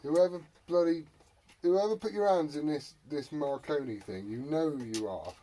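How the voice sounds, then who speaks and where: A man's voice: fairly high pitch, normal speed, dark tone. A British man rambling casually and jokingly to viewers while repairing something, swearing often and muttering to himself. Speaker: hobbyist repairer presenting a video. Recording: noisy home recording with clicks.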